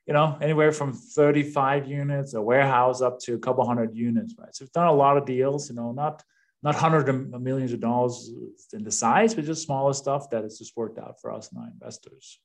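Male podcast guest, fifties, Danish accent, medium-pitched voice, relaxed informal interview talk. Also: brisk. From Denmark. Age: 50s. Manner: conversational, informal. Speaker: podcast guest, real estate investor. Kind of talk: casual interview chat.

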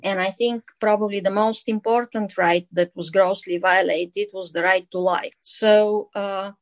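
A woman from Bulgaria with a Bulgarian accent, answering interview questions as an author in an earnest, measured way with frequent fillers. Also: mid-range voice, steady tone, no echo. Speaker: author and guest.

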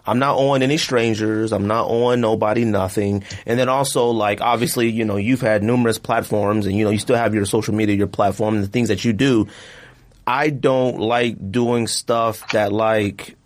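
A man hosting a podcast in casual chat, slangy and animated, jumping up into high asides. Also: normal speed. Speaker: podcast host.

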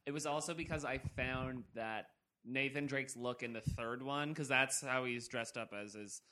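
A man's voice: mid-range voice, normal speed, bright, forceful tone. A man co-hosting a casual podcast, chatty and enthusiastic. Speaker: podcast co-host. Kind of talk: casual group chat.

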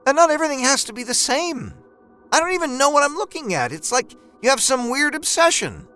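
A man's voice: very high-pitched, expressive, slow pace, faint music behind, voice pushed up, heavily acted. A man voicing an exasperated adult wife who scolds her husband bluntly. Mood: scolding exasperated. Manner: sharp, scolding.

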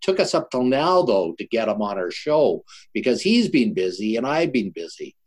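An older man hosting a show, introducing and interviewing his guest with emphatic, deliberate phrasing. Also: lowish voice, sing-song, a little mellow. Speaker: show host.

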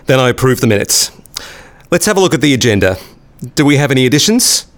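Male department head, played by an actor, medium pitch, businesslike, officious, procedural, radio play meeting. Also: sing-song, fast talker, close to an everyday voice.

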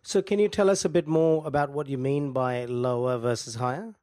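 A woman with an extremely low-pitched voice hosting a podcast. She asks questions and keeps the guest going with quick, encouraging affirmations. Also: mellow. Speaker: podcast host. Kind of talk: interviewer asking questions.

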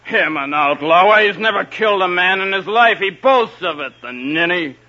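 Blustering, sharp-voiced medieval cutthroat. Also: male voice, sits high, expressive, moderate pace. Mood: boastful, scornful. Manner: blustering, sneering, menacing. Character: boastful, belligerent male hired cutthroat in animal skins. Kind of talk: old radio drama acting. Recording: dull, noisy vintage radio recording.

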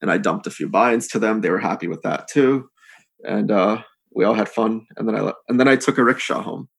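A man's voice: fairly low pitch, fairly quick, vocal fry, husky. A man in his thirties with an American accent, chatting casually as a podcast guest and rambling with lots of fillers. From United States. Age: 30s. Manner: relaxed, rambling, heavy use of "like". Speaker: podcast guest, poker pro. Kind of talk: casual interview chat.

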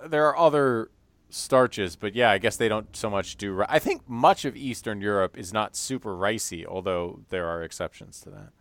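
A man co-hosting a podcast, chatting casually about a cooking show, animated and quick to laugh at his own jokes. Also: lowish voice, no room sound. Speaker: podcast co-host. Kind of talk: casual chat.

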